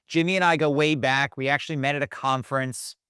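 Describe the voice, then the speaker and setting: A man's voice: mid-range voice, fairly even intonation, fast, bright, forceful tone, smooth, no echo, studio quality. A man hosting a podcast, introducing his guest and asking questions in a friendly, loose way. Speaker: podcast host. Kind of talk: casual interview hosting.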